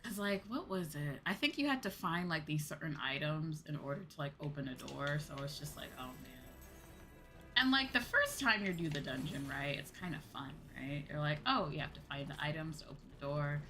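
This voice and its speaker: A woman's voice: sits low, expressive, normal speed, pushed and bright, faint music behind. A woman streaming a game and chatting casually, with a sweary, playfully whiny and emphatic manner. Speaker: streamer, VTuber host.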